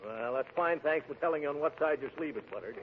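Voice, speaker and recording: A man's voice: a little high, quick pace, lightly acted. An actor playing a pompous, jovial, gluttonous big man in a blustery, chuckling 1940s radio comedy style. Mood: needling. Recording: noisy tinny 1940s radio broadcast.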